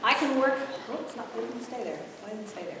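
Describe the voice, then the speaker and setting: A woman giving a live conference talk, animated and acting out quoted dialogue, who grew up in Ethiopia. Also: fairly high pitch, fast talker, slight background noise. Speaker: conference speaker. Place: large echoey hall. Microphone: distant room mic. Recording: echoey hall from far off.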